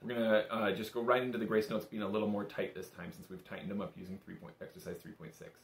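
A man's voice: on the low side, level tone, quick pace, creaky. A young man giving a casual, patient, step-by-step music lesson in an informal, encouraging way. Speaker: bagpipe teacher. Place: small indoor room. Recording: echoey small room.

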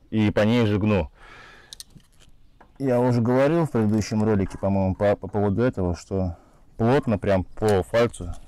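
A man in his forties calmly explaining his work as a roofing craftsman, thinking aloud while demonstrating by hand outdoors. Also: lowish voice. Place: outdoors in a sunny yard beside a house wall.

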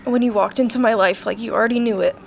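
A young woman reading her wedding vows in a barn, soft-spoken, hesitant and tearful. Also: a little high, fairly even intonation, fast talker, vocal fry, slight background noise. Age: young adult. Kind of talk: emotional personal wedding vows. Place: large open barn venue, indoors.

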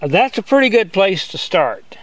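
An older man giving a relaxed, step-by-step outdoor demonstration as a sawmill instructor, talking in a plain, easygoing way. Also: high-pitched, normal speed, no room sound, rough recording. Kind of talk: hands-on demonstration. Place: outdoors on a grassy lawn.

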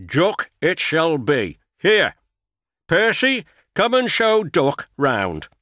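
A man puts on a stout railway boss from a storybook, kindly but stern, speaking loud, raised and commanding. The voice is lively in pitch and dry and close. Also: drawn-out words, no room sound, heavily acted. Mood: hearty. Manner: hearty and commanding. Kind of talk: storybook character voice.

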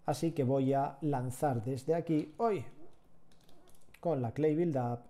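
A man's voice: medium pitch, takes it slowly. A man, chatting with viewers while teaching 3D sculpting live from a small home room. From Catalonia, Spain. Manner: relaxed, chatty, answers chat while working. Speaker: 3D sculpting instructor.

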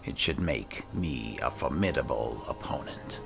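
A man narrating a fantasy game in character, measured, solemn and dramatic. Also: a bass voice, lively intonation, vocal fry, music in the background. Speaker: gaming video host playing in character.

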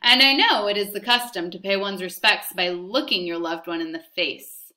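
Lady reading as a mean bossy male officer, loud and slow, bright tone. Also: mid-range voice, natural voice. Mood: condescending. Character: harsh condescending male army officer.

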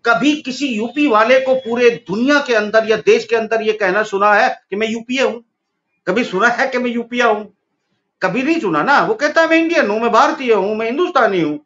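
A middle-aged man arguing politics on a video call from an office, forceful and rising to emphatic peaks. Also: sits high, lively intonation, normal speed. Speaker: political commentator. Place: office room, indoors. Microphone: video call webcam. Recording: dull lo-fi call audio with faint noise.

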